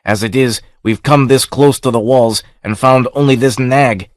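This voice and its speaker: A man's voice: medium pitch, steady tone, normal speed, no room sound, clean studio sound, lightly acted. A man voicing a hard, scornful outlaw chief who speaks coldly and gives orders. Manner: cold and commanding.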